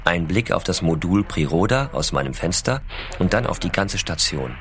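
A man playing an American astronaut father, reading letters to his small son in a measured, reflective way. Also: deep voice, expressive, background noise. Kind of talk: scripted reading of personal letters.

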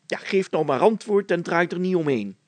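A man's voice: high voice, lively intonation, normal speed, no echo, voice pushed up. A man voicing a fussy, self-important older village mayor in a storybook, insistent and exclamatory. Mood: impatient.

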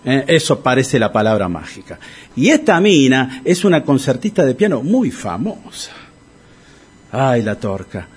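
A man's voice: medium pitch, sing-song, a little slow, slightly rough. An actor with a Rioplatense Argentine accent playing a hapless, anxious, sentimental man who stammers and rambles in a comedic radio play. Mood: grumbling, excited. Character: Tito, hapless debt-ridden man, anxious, sentimental and fussy.

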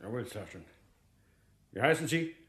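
An actor playing a mocking, loud corporal prison guard in a radio drama, in an echoing room. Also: male voice, medium pitch, lively intonation, moderate pace, close to an everyday voice. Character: jeering, obedient male corporal prison guard.

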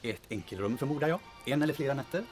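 Man playing a courteous mid-pitched hotel receptionist. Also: expressive, soft background music, lightly acted. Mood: courteous, discreet. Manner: formal, courteous. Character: polite, discreet, chatty hotel receptionist. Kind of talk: audio drama acting.

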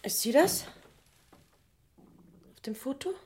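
An actress playing an inquisitive, persistent young granddaughter in a radio drama, eager and probing. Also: female voice, sits high, animated, slow, a little mellow. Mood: curious, insistent.

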